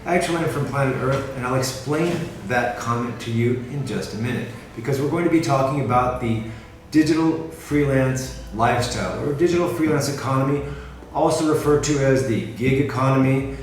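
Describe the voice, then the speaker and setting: A middle-aged American man from California, talking casually to the camera in a measured way and often rephrasing himself. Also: medium pitch, slight background noise. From California, USA. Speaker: freelancer presenting himself. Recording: very echoey room, mic at a distance, music behind.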